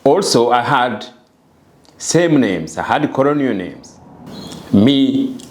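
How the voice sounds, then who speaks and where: A man's voice: mid-range voice, lively intonation, slow, a little hiss or hum. A middle-aged man from Rwanda giving an earnest, emphatic personal message straight to the viewer and repeating his phrases for weight. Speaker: vlogger.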